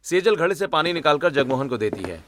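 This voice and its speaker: A man's voice: on the high side, sing-song, fast talker, a bit bright, a little hiss or hum. A man narrating a scripted cartoon story.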